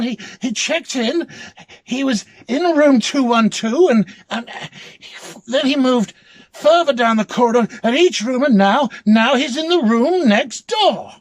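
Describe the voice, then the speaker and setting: A man performs an irritable, unnerved male lodger in a dramatic story. The voice is pushed high and loud. He insists urgently and stumbles over his words. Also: heavily acted. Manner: stammering, insistent.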